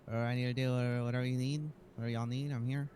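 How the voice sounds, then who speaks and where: A man's voice: mid-range voice, flat delivery, very fast, a little mellow, a little hiss or hum. A man improvising casual in-character roleplay conversation.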